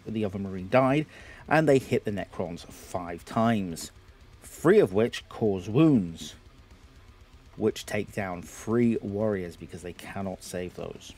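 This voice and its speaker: A man in his thirties with a Geordie accent, narrating a tabletop battle report at home, slightly hoarse, rambling with lots of fillers. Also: on the low side, a little slow, a little mellow, soft background music. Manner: rambling, self-deprecating, lots of filler words. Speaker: YouTube host. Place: home games room.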